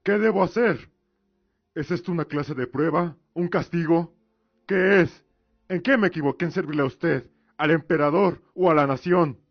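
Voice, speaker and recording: Man playing an anguished high-voiced warrior father. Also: normal speed, slight background noise, lightly acted. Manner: desperate, pleading, intense. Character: anguished, devout warrior commander and grieving father. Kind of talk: fantasy radio drama acting. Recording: dull lo-fi recording.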